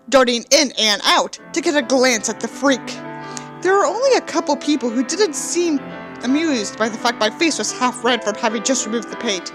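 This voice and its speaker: Woman, high voice, very animated, theatrical dramatic reading, sarcastic asides, music behind. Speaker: book reviewer and reader.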